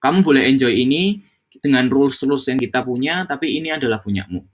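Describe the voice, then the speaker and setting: A man's voice: mid-range voice, animated, fast. A young man giving an informal training talk to hospitality coworkers, mixing English phrases into casual speech, with frequent fillers and restarts. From Java, Indonesia.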